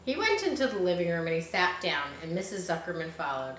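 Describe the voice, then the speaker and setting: Woman, expressive storybook reader, very lively pitch, echoey room with faint noise. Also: brisk. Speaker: audiobook reader. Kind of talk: expressive children's storybook narration.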